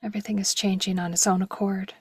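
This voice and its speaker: A woman leading a guided meditation slowly and gently in short, soft phrases, with a breathy voice that at times nears a whisper. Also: medium pitch, level tone, dry recording, clean studio sound. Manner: slow, gentle, with long pauses and short phrases.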